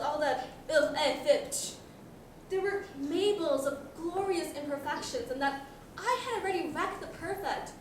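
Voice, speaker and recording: A lovestruck, guilt-ridden husband acted by a woman tells his story with theatrical flourish in a student stage comedy. The voice is high and comes from well away from the mic, in a very echoey, noisy hall. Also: recorded from a distance. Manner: theatrical, melodramatic, stammering under accusation. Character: lovestruck, guilt-ridden narrator named Manny, melodramatic husband. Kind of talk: student stage comedy, confessional narration and dialogue. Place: school theater stage, recorded from audience.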